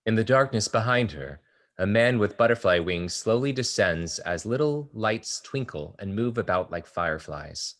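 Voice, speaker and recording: A man reading the stage directions aloud in a steady, descriptive way at a table read of a stage play. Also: on the low side, moderate pace.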